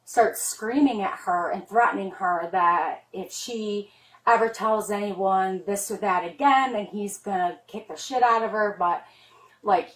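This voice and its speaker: A woman's voice: medium pitch, unhurried. A middle-aged woman, a mother, talking to a police officer in a rambling, earnest and worried way, full of "like" fillers. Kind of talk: spoken statement to an officer. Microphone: police body camera worn by an officer. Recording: roomy, distant body camera audio.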